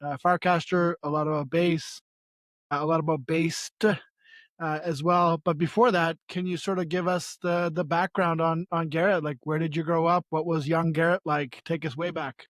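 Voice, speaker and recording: A man's voice: on the high side, level tone, moderate pace, a bit bright, no echo. A man hosting a podcast, asking questions in a friendly, chatty way with some fillers. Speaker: podcast host. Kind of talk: casual interview questions.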